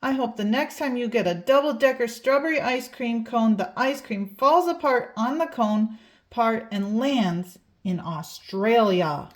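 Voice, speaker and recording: A woman's voice: fairly high pitch, measured pace, lightly acted. A woman voicing a grumpy, put-upon little boy in a storybook, complaining with emphasis. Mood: sulky, indignant.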